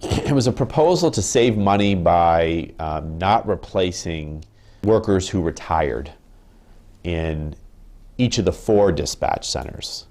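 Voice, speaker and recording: Man, 30s, union rep in an interview, deep voice, careful and halting delivery. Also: lively intonation, darkish tone. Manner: measured, thoughtful, with frequent ums and restarts. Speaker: union representative. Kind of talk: interview answers. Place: indoor office with wood paneling.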